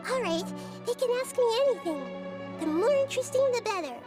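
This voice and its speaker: A gentle kid goddess from a game, thoughtful, light high voice, music under it. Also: female voice, animated. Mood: eager, curious. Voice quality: light, childlike. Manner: measured, articulate, speaks in analogies. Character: small gentle wise childlike goddess, thoughtful, playful. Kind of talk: video game dialogue acting.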